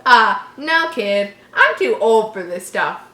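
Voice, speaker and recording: A woman's voice: medium pitch, very animated, slow pace, bright, forceful tone, roomy sound. A woman reading a horror story aloud casually, giggly and reactive, breaking off to joke and comment.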